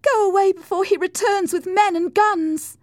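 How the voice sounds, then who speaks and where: A woman voicing a passionate, angry young married woman with theatrical, shrill outbursts in an audiobook story. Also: wildly swooping pitch, a little slow, a little mellow, husky, no room sound, exaggerated voice. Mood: urgent. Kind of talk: audiobook character acting.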